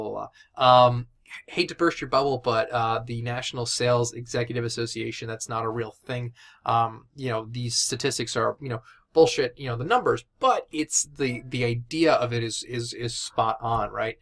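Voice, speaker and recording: A man's voice: mid-range voice, fairly bright tone. A man giving casual, informal instruction as a course teacher, talking conversationally and often checking in with his listeners. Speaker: instructor of a sales and digital marketing course.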